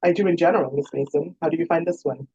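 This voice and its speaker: A gallant young gentleman investigator , read by a woman, courteous and wry. He is understated and husky in an audiobook romance, with a dull recorded sound. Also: low-pitched, fast, dry recording.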